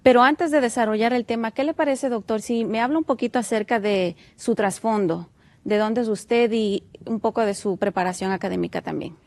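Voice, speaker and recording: A woman's voice: a little high, brisk, no room sound. A woman hosting a televised interview, speaking in a formal, courteous way with some hesitations. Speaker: TV host. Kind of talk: hosted interview. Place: television studio.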